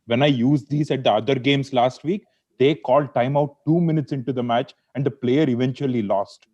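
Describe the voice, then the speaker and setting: A man's voice: mid-range voice, moderate pace, darkish tone, lightly acted. A man reading the part of a shifty, deferential hired crook in a play script, over a video call. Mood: explaining. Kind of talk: table read of a play script. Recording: dull lo-fi video call audio.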